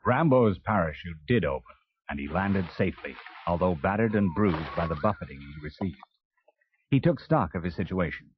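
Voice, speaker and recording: A man's voice: low voice, lively intonation, moderate pace, noisy background. A man narrating a scripted children's adventure story as a read-along. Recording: dull vintage cassette.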